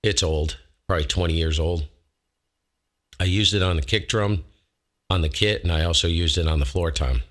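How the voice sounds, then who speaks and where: A man with a low voice hosting a ham radio show, talking casually through a microphone demo from his home shack on a close dynamic mic, rambling and correcting himself as he goes. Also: moderate pace, pauses often, a bit bright. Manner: rambling, self-correcting, folksy. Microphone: close dynamic studio mic.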